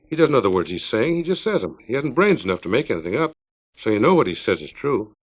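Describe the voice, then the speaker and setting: A man's voice: mid-range voice, very animated, fast. A man reading an earnest small-town man's emphatic, colloquial dialogue in a story, on a 1950s record. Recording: dull 1950s vinyl record.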